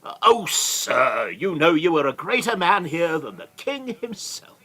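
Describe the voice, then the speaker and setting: A man's voice: a little high, big pitch swings, a little slow, bright, forceful tone, exaggerated voice. A man playing a servile, put-upon castle steward in a radio play, obsequious, flustered and pleading. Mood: servile, nervous. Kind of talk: theatrical radio play acting.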